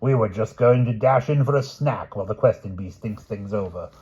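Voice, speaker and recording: A man's voice: fairly low pitch, a little fast, darkish tone, slightly rough, muffled recording, pitched above the performer's own voice. A man voicing a breezy, hearty older knight with a jovial manner.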